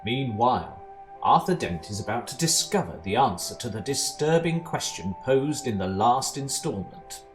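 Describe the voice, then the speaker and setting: A man playing a measured, deadpan narrator of absurd galactic tales in an amateur audio drama. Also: medium pitch, natural voice. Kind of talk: scripted comic sci-fi narration. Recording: music behind the voice.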